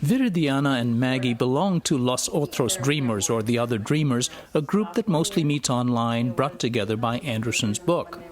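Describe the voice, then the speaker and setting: Measured male news correspondent. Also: medium pitch. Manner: measured, even reporting delivery. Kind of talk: scripted news narration.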